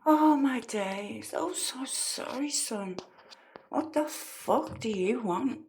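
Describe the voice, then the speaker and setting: A woman's voice: fairly high pitch, expressive, takes it slowly, a little mellow, slight background noise. A woman giving casual true crime reaction commentary in a blunt, scornful way.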